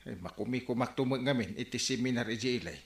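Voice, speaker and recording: A man's voice: medium pitch, fast, roomy sound, natural voice. An actor playing a put-upon male village captain in an Ilocano comedy radio drama, with an Ilocano Filipino accent. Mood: exasperated.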